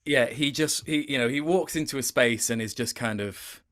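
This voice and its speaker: A man giving a casual interview as a voice actor, thoughtful and halting, often interrupting himself. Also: mid-range voice, fairly bright tone.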